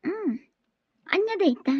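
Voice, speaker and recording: A woman's voice: extremely high pitch, expressive, unhurried, no room sound. A woman with an Ilocano accent playing a friendly, trusting young student in a radio drama. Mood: shy, friendly. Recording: dull radio drama sound, music behind.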